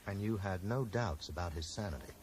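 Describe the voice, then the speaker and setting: A polite, inquisitive bookseller from an eighties radio drama, acted by a man. His voice is very low and he talks briskly, probing carefully. The old broadcast recording carries slight noise. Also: a little mellow, lightly acted, poor audio. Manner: questioning, measured, courteous. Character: probing, polite bookseller investigating a stranger's death. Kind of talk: 1980s radio drama acting.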